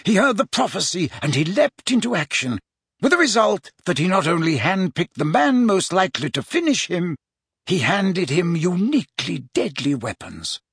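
Man acting old male wizard, audiobook mentor, earnest, formal, emphatic, very lively intonation, dry close sound. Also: high-pitched, normal speed, breathy edge, no room sound, pitched above the performer's own voice, heavily acted. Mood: impatient, agitated.

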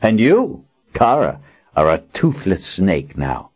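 A man's voice: deep voice, huge pitch swings, slow pace, slightly rough, exaggerated voice. A man doing a cruel, arrogant usurper lord. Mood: menacing. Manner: cold, commanding, menacing. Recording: dull lo-fi audiobook recording.

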